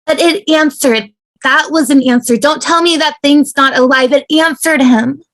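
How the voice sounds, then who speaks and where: Very high, frantic woman passenger played by an actress. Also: moderate pace, a bit bright. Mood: alarmed, snappish. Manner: frantic, demanding, repetitive. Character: frightened, accusing woman passenger panicking on a bus. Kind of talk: amateur online table read.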